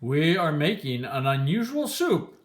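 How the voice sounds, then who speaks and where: Woman playing a loud, slow, showy hungry traveler. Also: low voice, sing-song, pitched below the performer's own voice. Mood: grand, showy. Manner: loud, slow, drawn-out proclaiming. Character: two hungry, hearty, showman-like travelers selling magical soup.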